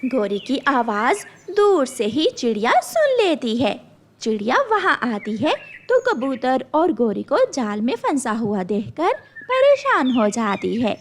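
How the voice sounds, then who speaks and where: A woman's voice: sits high, very animated, measured pace, slight background noise. A woman narrating a scripted children's cartoon story.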